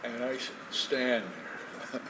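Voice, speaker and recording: A man acts out an elderly man aching with regret and longing in a stage play. He speaks slowly and haltingly, with raw feeling. The sound is roomy and distant, with clear noise. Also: mid-range voice, monotone, close to an everyday voice. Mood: haunted, regretful. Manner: halting, emotional, repeating words. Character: elderly divorced man, regretful, tender, longing for lost love. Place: theatre stage, distant mic. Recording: echoey stage from far off, noisy.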